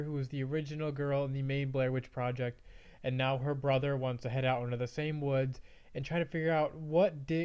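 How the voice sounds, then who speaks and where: A man's voice: a little high, darkish tone, clear, resonant tone. A man hosting a casual movie review, his voice slightly off from a mild cold. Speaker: movie review show host. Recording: slightly dull, band-limited audio.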